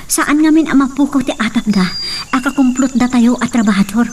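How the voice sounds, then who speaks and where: A woman plays an anxious young daughter in a radio-style drama. Her voice is fairly high, earnest and emotive. There is faint insect-like noise behind her. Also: brisk, darkish tone, close to an everyday voice. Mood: anxious, scheming. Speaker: drama actor.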